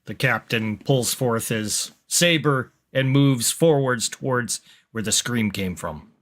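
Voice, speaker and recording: A man takes part in a tabletop roleplaying game. He has an average-pitched voice and narrates what his character does in the third person. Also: slow, a bit bright. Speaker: player.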